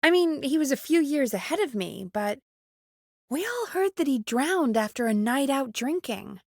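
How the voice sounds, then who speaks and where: A woman's voice: sits high, very animated, moderate pace, dry recording, clean studio sound. A woman playing a frank, anxious but caring radio producer in a video game, talking in an informal, emphatic, exasperated way. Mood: intent. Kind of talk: conversational video game voice acting.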